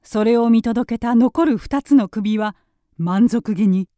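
A woman's voice: a little high, a little slow, warm tone, no echo, studio quality. A woman giving scripted narration for a Japanese radio drama. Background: music.

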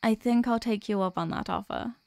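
A woman playing a sweet, sleepy workaholic girlfriend in an ASMR audio roleplay, speaking softly and hesitantly with affection. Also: medium pitch, fairly quick, creaky, no echo, natural voice. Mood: sleepy, giving in.

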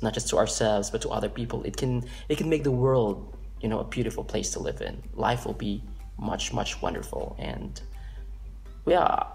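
Filipino man, 30s, vlogger; low, gravelly delivery, earnest and rambling, office room, close camera mic. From Philippines. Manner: earnest, rambling with restarts and fillers. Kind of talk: casual storytelling to camera.

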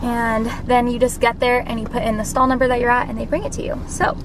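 A woman's voice: fairly high pitch, flat delivery, fast talker. A young American woman chatting casually to camera in an upbeat, informal way while driving, as a student vlogger. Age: young adult. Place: inside a car, driving. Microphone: mounted camera at arm's length. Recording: noisy car, mic at a distance. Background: car and road noise.

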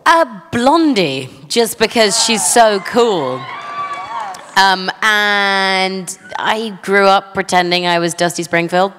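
A woman who is a guest on a panel, talking in an animated, enthusiastic way. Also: medium pitch, slow pace, a crowd in the background, noisy background. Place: large theater stage. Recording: reverberant theater hall.

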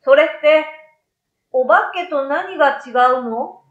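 Woman playing a high-voiced, excitable little boy. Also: slow pace, roomy sound, highs cut off. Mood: startled. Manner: childlike, exclamatory, questioning. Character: young second-grade boy, self-assured yet easily startled.

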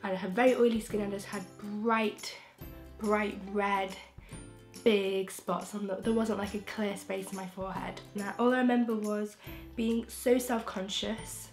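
A young woman from England, croaky from a throat infection, chatting frankly and rambling with restarts as a beauty vlogger in her bedroom. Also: medium pitch, level tone, unhurried, soft background music. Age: young adult. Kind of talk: casual chatty vlog. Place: bedroom, indoors. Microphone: camera in front, close framing.

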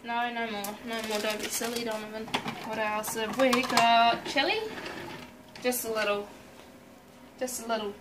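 A woman's voice: a little high, little pitch movement, normal speed, long pauses, a crowd in the background. A young woman in her twenties hosting a casual home cooking vlog, chatty and playful with self-mocking asides, filmed up close in a small kitchen at night. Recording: noisy home kitchen recording. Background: loud clatter of pots, cutlery and dishes.

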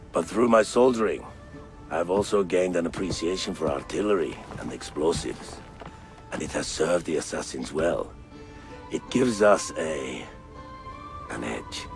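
A gruff Ottoman navigator and bomb merchant talks in a low voice with a Turkish accent. He is proud, dry and deliberate. Soft game music sits underneath. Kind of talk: cinematic video game acting.

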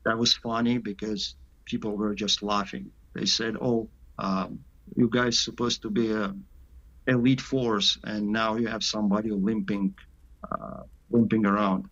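A man from Canada answering interview questions over a video call, halting, with many pauses and filler sounds. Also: on the low side, little pitch movement, fairly bright tone. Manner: halting, many "uh" pauses, slight non-native grammar. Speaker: sniper interviewed as guest. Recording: dull lo-fi call audio.

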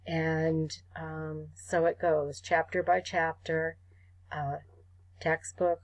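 A woman instructor talking students through an online course site, measured and full of ums and uhs. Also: low-pitched, flat delivery, no room sound. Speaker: college instructor. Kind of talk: screen-recorded course site walkthrough.

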